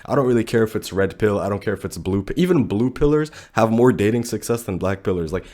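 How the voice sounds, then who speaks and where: A young man, a little sick and deeper-voiced than usual, giving blunt, animated dating commentary full of slang. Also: fast. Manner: blunt, animated, slangy, says bro a lot. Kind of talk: casual commentary to camera.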